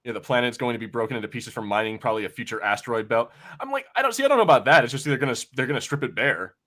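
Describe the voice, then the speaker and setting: Mid-pitched man hosting a casual podcast. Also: lively intonation, racing, bright, forceful tone. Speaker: podcast host. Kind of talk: casual review chat.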